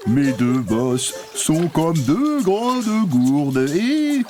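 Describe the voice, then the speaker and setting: A man voicing a jolly, gallant camel in a children's cartoon, with a courteous, playful, drawn-out delivery. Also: fairly high pitch, big pitch swings, a little mellow, full character voice. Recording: music under the voice.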